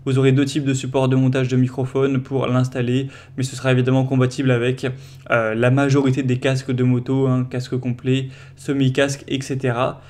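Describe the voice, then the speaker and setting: Even-toned man presenting a product comparison. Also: medium pitch, fairly quick. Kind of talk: casual product comparison voice-over.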